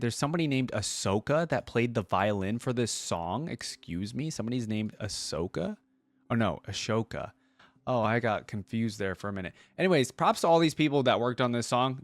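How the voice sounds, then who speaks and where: A man's voice: medium pitch, lively intonation, a little fast, dry recording. A man giving casual reaction commentary as a host, chatting informally and speaking straight to his viewers. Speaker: host of a game reaction channel. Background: music from the video being watched.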